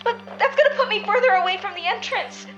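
A woman's voice: extremely high pitch, fast, a bit bright, lo-fi sound, faint music behind. A woman playing an indignant, principled superheroine, emphatic and confrontational, in an audio drama. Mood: alarmed.

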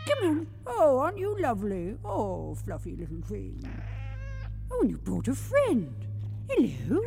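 A woman's voice: fairly high pitch, huge pitch swings, a little slow, creaky, mellow, exaggerated voice. A British actress playing an exasperated, frightened, foul-mouthed woman alone in an audio drama, emotional and talking to herself. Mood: cooing.

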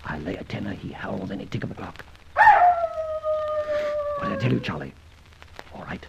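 An actor playing a shrewd, well-spoken gentleman adventurer in an old-time radio serial, clipped and giving orders with old-fashioned slang. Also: medium pitch, very animated, normal speed. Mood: urgent, conspiratorial. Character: shrewd, commanding gentleman adventurer, brisk male leader. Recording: muffled, noisy old radio recording.

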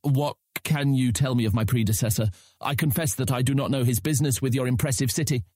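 Young male thief leader played by an actor, medium pitch, husky, careful, polite, fantasy audio drama, dry sound. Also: fairly even intonation, quick pace, a little mellow, no echo, lightly acted. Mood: polite, hesitant. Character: nervous young guildmaster thief, polite and guarded.

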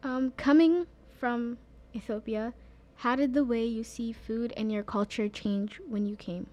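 Mellow-voiced young woman interviewer. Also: on the high side, steady tone, unhurried. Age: young adult. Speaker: youth interviewer. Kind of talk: scripted interview questions and narration.

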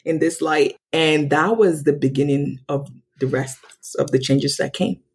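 Husky-voiced woman telling lively stories. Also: measured pace. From Nigeria. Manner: animated, acts out quoted dialogue with big pitch jumps. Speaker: podcast guest. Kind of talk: casual interview storytelling.

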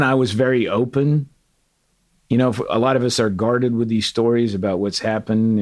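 Man, from Portugal, middle-aged, low voice, earnest candid storyteller. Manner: earnest, animated, quotes others in dialogue. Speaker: guest, retired command sergeant major.

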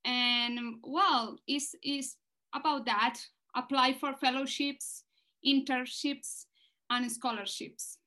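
A woman with a non-native English accent, speaking as a panelist and giving earnest, measured advice. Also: high voice, fairly even intonation, sharp tone. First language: not English.

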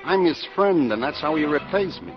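An actor playing a suspicious, controlling gang boss with tough, slangy talk in 1950s radio drama style. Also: male voice, a little high, lively intonation, normal speed, over music, lightly acted. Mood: scheming, menacing. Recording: noisy, muffled old radio broadcast.

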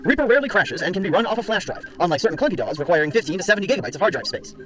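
A man reading a rapid-fire, lively advertising spiel. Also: high-pitched. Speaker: guest ad reader. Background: music bed under the read.

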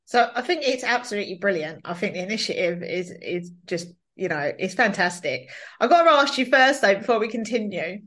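A woman hosting a podcast, asking questions in a measured, encouraging way. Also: mid-range voice, animated, fairly bright tone. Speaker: podcast host. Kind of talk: podcast interview.

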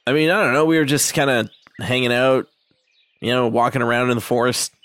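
A man's voice: medium pitch, a little fast, fairly bright tone, voice pushed down, close to an everyday voice. A man playing a hesitant, easygoing sixteen-year-old village boy in a tabletop game, stammering politely through his words. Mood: sheepish, casual.

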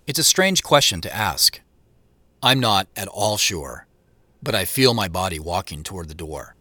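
A man's voice: deep voice, very animated, normal speed, pushed and bright, no room sound, exaggerated voice. A man playing a conflicted, career-driven man in an audio drama, narrating his own thoughts in an earnest, reflective way. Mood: wistful, reflective. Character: Joseph, a conflicted, career-driven man holding back emotion. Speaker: narrator and lead actor. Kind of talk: introspective first-person audio drama narration.

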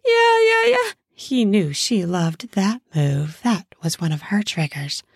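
A woman narrating a scripted erotic story in an intimate, deliberate manner with drawn-out phrasing. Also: lowish voice, lively intonation, darkish tone, breathy edge, dry recording, clean studio sound.

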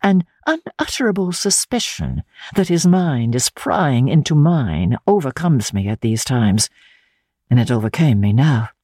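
A woman reading literary fiction as an audiobook narrator, with measured, theatrical delivery. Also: rumbling low, sing-song, warm tone, no echo, clean studio sound. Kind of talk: dramatic literary narration, first-person diary.

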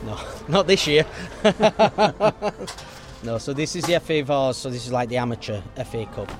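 A man's voice: medium pitch, normal speed, slight background noise. A man giving casual, friendly-paced explanations outdoors at a football ground.

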